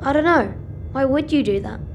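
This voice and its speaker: A woman's voice: high-pitched, normal speed, highs cut off. A woman playing a hurt, questioning young boy in an audio drama. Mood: suspicious, hurt. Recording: clear music underneath.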